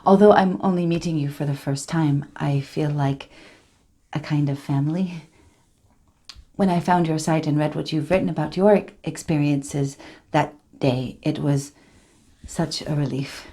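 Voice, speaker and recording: A woman's voice: deep voice, steady tone, a little slow, dark tone. A woman performing a dramatic monologue as an earnest, emotional survivor, confiding and hesitant in direct address. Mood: earnest, tentative.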